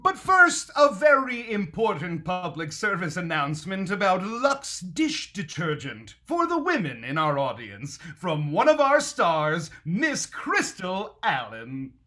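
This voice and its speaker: An actor playing a grand, theatrical 1930s radio announcer, with dramatic pauses. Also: male voice, high-pitched, huge pitch swings, pushed and bright, heavily acted. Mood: grand, showy.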